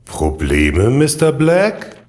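A man's voice: medium pitch, huge pitch swings, very slow, a little mellow, heavily acted. A man playing a wry, authoritative court judge in an audio drama, with a dry, commanding manner. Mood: dry, stern.

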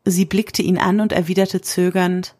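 A woman with a husky voice reads scripted literary narration for an audio drama. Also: fairly low pitch, little pitch movement, moderate pace, dark tone, dry recording, clean studio sound.

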